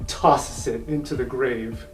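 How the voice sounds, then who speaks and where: A man narrating an improvised tabletop fantasy game as game master, in an excitable, dramatic way. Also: medium pitch, slow. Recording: roomy echo, distant, background music.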